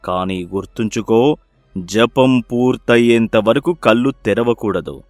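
A man voicing a sly village holy man in an animated moral story, measured, solemn and admonishing. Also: mid-range voice, dry recording, soft background music, close to an everyday voice. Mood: commanding.

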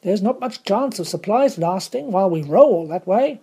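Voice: medium pitch, expressive, normal speed, warm tone, slightly rough, voice pushed up. A reader voicing a worried male master bowman who asks his questions earnestly and respectfully.

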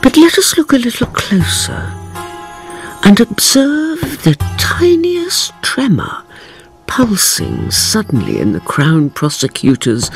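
A woman's voice: medium pitch, huge pitch swings, takes it slowly, faint music behind, heavily acted. A woman playing a sly, knowing narrator in a radio drama, theatrically savoring each literary phrase.

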